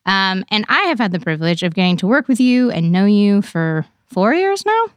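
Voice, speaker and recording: Crisp, dry-sounding woman podcast host. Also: medium pitch, big pitch swings, moderate pace, dry recording. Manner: friendly, upbeat questioning. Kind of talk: hosting a church podcast interview.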